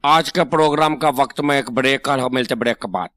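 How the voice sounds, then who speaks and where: A man's voice: mid-range voice, brisk, natural voice. A man with a Pakistani Punjabi accent acting a stubborn, bossy, argumentative host on a parody political talk show. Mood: formal. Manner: interrupting, bossy, long-winded.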